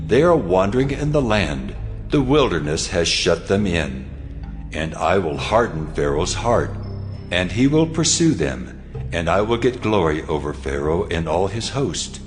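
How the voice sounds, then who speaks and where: A man playing God as a commanding, solemn divine voice in a scripture audio drama, speaking with grand, deliberate authority. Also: deep voice. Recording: long reverb over music.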